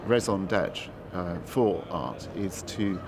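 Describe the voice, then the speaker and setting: A very deep-voiced man, an artist, speaks thoughtfully and pauses now and then to find his words. Loud outdoor noise sits right under his voice. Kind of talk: casual interview.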